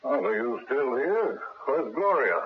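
Raspy, strained wealthy father. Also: medium pitch, very animated, slow, no room sound, full character voice. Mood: strained, curt. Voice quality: very husky, raspy. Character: strained, wealthy father of a kidnapped child, curt and distrustful. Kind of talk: 1970s radio drama acting. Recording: dull lo-fi 1970s radio broadcast.

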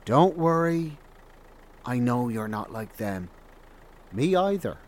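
A knowing wanderer in an audio drama, talking at a slow, drawn-out pace. His pitch moves widely with sardonic, shrewd remarks. A car engine hums faintly behind him. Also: sing-song, a little mellow, slight background noise. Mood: knowing, candid.

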